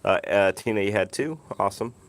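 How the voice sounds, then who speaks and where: Easygoing man with a lingering cough. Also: lowish voice. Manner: easygoing, chatty, unhurried. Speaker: live-stream host. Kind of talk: casual live-stream chat. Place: outdoors, then indoors at home. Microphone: phone.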